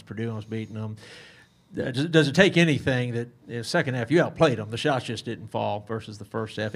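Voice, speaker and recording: A man's voice: medium pitch, creaky. A man asking a rambling press question in loose, stop-start phrases. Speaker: reporter.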